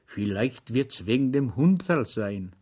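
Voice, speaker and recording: A man's voice: fairly high pitch, moderate pace, fairly bright tone, pitched above the performer's own voice, close to an everyday voice. A man reading an audiobook, voicing a cheerful, simple-minded soldier orderly who chats earnestly and deferentially. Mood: eager, deferential. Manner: chatty, earnest and deferential, rambling anecdotes.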